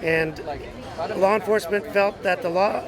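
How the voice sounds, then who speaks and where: An American man with a high-pitched voice speaks as an organizer in an outdoor interview. He explains in halting, broken phrases, with a clearly audible crowd in the background. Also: steady tone. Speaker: rally organizer. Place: outdoors at a public rally. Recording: outdoor crowd noise behind voice. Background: crowd chatter.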